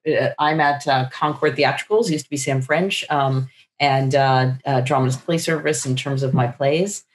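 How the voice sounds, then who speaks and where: A woman's voice: extremely low pitch, moderate pace, a little hiss or hum. Rambling woman in an echoey room. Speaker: guest playwright. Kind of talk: casual interview chat. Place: book-lined room at home, indoors. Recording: roomy, echoey video call.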